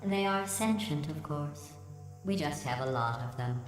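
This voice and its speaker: A cold, sarcastic female AI overseer speaks in a low, deadpan tone, trading dry insults. Her game voice rings with heavy echo. Music plays underneath. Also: a little slow. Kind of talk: video game voice acting. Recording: heavily echoed game audio with music.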